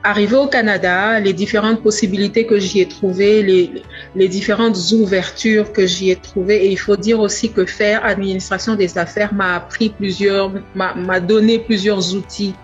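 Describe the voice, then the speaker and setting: A young woman from the Democratic Republic of the Congo with a Congolese accent, giving a measured personal testimonial with some halting repeats. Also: mid-range voice, steady tone. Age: young adult. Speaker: entrepreneur, grocery store owner. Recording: dull lo-fi sound, faint music.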